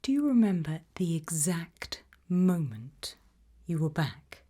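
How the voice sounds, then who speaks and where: A British woman whispering in a breathy voice, asking gentle, measured questions as an interviewer in an ASMR roleplay. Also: on the low side, big pitch swings.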